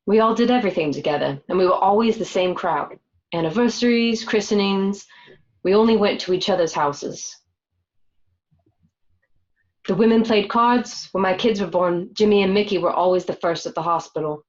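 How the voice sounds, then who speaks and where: A woman's voice: mid-range voice, brisk, darkish tone, highs cut off, lightly acted. An actress playing a candid, matter-of-fact gangster's wife who narrates her life in an audio drama, recorded at home. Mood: reminiscing. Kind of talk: scripted first-person narration in an audio drama.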